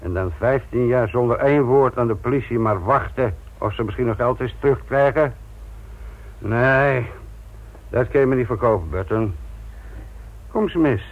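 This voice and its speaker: An actor playing a sardonic, gruff older police instructor in a Dutch radio play, patronising and deliberate in manner. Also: male voice, mid-range voice, natural voice. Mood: gruff, defensive. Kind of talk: Dutch radio drama acting. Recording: thin, narrow-band old radio recording.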